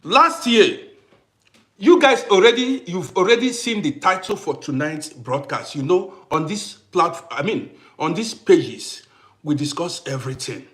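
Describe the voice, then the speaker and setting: A man hosting a casual livestream who greets viewers by name, repeats himself, and swings between loud bursts and quiet asides. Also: on the high side, lively intonation, unhurried. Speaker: livestream host.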